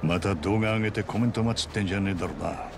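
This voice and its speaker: A man playing a burly, skeptical middle-aged detective in a game, blunt and gruff. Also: fairly low pitch, rapid-fire, noisy background, close to an everyday voice. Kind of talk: Japanese game voice acting.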